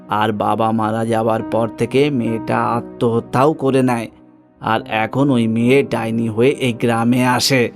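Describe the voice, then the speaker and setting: A man's voice: mid-range voice, lightly acted. A man voicing a grave villager who explains a grim legend solemnly in a cartoon ghost story. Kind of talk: animated ghost story dialogue.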